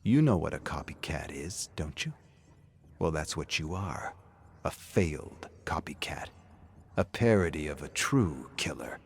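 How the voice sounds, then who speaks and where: A man voicing a sadistic, coldly mocking killer in a horror story, often slipping into a slow, cruel whisper. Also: rumbling low, lively intonation, gravelly, slight background noise, full character voice. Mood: cold menace. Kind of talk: audio horror story character.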